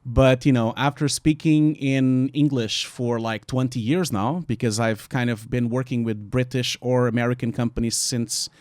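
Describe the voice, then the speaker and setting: A man in his forties with a Portuguese accent hosts a casual panel discussion. He talks earnestly in quick, emphatic bursts and leans on fillers. His voice sits in an ordinary middle range and is recorded close and dry, with almost no room sound. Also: mid-range voice, fairly even intonation, darkish tone, dry recording.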